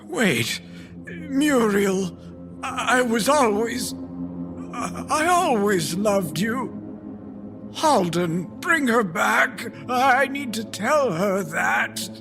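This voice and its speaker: An actor playing an elderly, wistful, easily flustered grandfather in an audio drama, speaking haltingly and with emotion. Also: extremely high pitch, expressive, creaky, over music, exaggerated voice. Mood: pleading, tender. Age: older. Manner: halting, emotional, slow speech.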